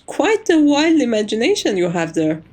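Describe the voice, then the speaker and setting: Vampire's maid, played by a woman for ASMR roleplay: formal, reassuring, gently wry, with a very expressive, fairly high voice. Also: normal speed. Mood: teasing. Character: composed, courteous vampire's maid, wryly teasing.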